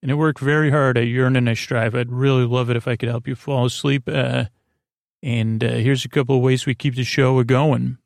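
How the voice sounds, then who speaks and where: A man hosting a sleep podcast, rambling in a whimsical, hesitant bedtime monologue with stammered false starts and a creaky, gravelly voice. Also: medium pitch, fairly even intonation, fairly quick, no echo, studio quality.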